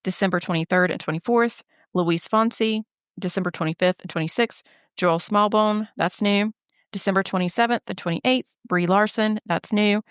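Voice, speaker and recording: A woman's voice: medium pitch, moderate pace, smooth, dry recording, clean studio sound. A woman co-hosting a travel podcast, talking casually while a little stuffy and nasal from a head cold. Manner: runs through lists in a steady, even delivery. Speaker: podcast co-host and travel agent.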